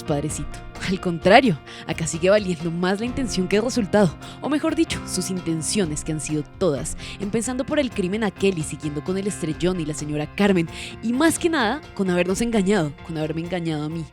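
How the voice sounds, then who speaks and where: A woman's voice: lowish voice, lively intonation, fast, a little mellow, natural voice. An actress playing a furious, imperious woman in an audio drama, accusing with vehement sarcasm. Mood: gloating scorn. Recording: music underneath. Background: music.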